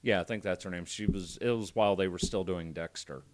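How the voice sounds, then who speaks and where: A man's voice: low-pitched, a little fast. A man on a podcast panel telling a story in a casual, joking, profane way with banter.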